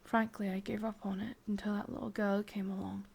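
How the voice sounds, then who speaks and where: A woman with an English accent playing a lovelorn, obsessive immortal witch in a breathy, intimate whispered ASMR roleplay. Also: medium pitch, little pitch movement. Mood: wistful, yearning. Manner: slow, hushed, intimate delivery with pauses.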